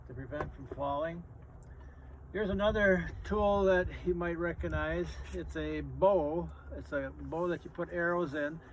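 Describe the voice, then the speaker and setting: A man in his seventies speaks in a somewhat higher-pitched voice, as a grandfather showing and explaining things to children. His delivery is halting, simple and plain-spoken. The amateur recording carries some light noise behind him. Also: fairly bright tone, rough recording. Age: 70s. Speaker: grandfather, guest reader and outdoorsman. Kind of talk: casual show-and-tell for children.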